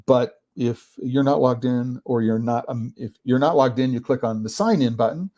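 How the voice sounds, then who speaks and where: Man, podcast host, mellow relaxed voice, mid pitch, informal explainer, halting delivery, frequent check-ins. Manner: halting, searching for words, often checking with "right?". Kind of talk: informal explanatory talk to camera.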